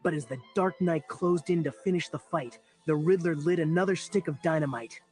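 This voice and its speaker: Man as a higher-pitched melodramatic adventure narrator. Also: fairly quick, a little mellow, faint music behind, natural voice. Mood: excited, dramatic. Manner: melodramatic, scripted. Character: melodramatic young male sidekick narrating heroic adventures. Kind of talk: dramatic superhero audio adventure narration.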